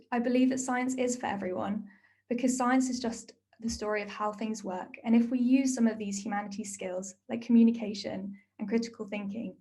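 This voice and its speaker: A woman's voice: a little high, dark tone. Earnest young woman scientist speaking. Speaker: neuroscientist storyteller. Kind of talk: prepared talk, then answering a question.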